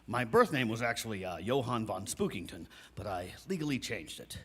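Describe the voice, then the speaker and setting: A man's voice: fairly low pitch, big pitch swings, a little fast, slightly rough. Man playing a pompous ghost hunter in an echoing hall. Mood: smug, casual. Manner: grandiose, theatrical. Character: pompous, self-important male ghost-hunter reality show host. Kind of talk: live comedy audio sketch acting. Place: recreation center hall, live stage performance. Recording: hall reverb of a live show.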